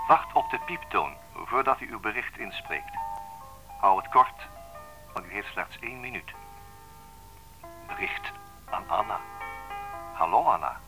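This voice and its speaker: An actor in a radio play playing a playful, mysterious man speaking deliberately on his answering machine, with music behind him. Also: low-pitched, rough recording. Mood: measured, pleased. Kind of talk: radio drama acting as recorded phone message.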